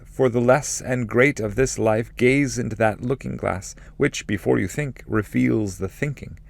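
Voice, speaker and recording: Man voicing an exalted ancestral spirit, pitched up, declaiming. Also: gravelly, dry recording, natural voice. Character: blessed male ancestor spirit in heaven, solemn, loving, joyful. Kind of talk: dramatic reading of epic poetry.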